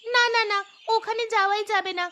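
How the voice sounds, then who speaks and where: Woman acting a high-pitched, bossy village girl. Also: fairly even intonation, a little fast, bright, forceful tone. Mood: protesting. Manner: bossy, protesting. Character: bold, cheeky, bossy village girl, elder sister. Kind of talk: cartoon story dialogue. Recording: music behind the voice.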